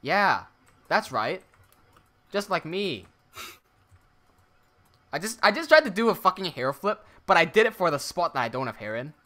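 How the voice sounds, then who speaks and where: A man's voice: high voice, very animated, moderate pace, pauses often, pushed and bright. A young man from the Philippines with an Americanized Filipino accent, chatting casually and rambling while building on a livestream. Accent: American-sounding Filipino. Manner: laid-back, rambling, swears, laughs often. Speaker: streamer and builder.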